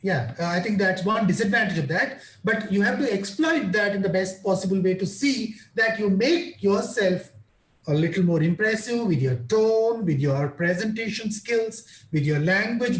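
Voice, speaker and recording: A man's voice: high voice, expressive, moderate pace, roomy sound, low-quality recording. A man with an Indian English accent, explaining teaching methods in an online conference talk and answering questions in a patient, repetitive way. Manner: explanatory, repeats parallel phrases. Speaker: guest lecturer.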